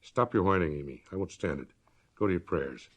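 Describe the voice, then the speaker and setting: A man's voice: low-pitched, animated, brisk, pitched below the performer's own voice. A man voicing a cold, sure, imperious middle-aged maiden lady with clipped, precise words, in a story reading. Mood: stern command.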